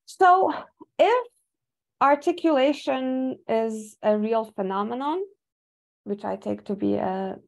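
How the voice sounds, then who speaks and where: A woman's voice: a little high, creaky, a little mellow. A young woman, an English speaker, giving a webinar talk from slides over a video call, thoughtful with many fillers and restarts. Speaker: academic speaker. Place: home room indoors. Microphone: video call webcam.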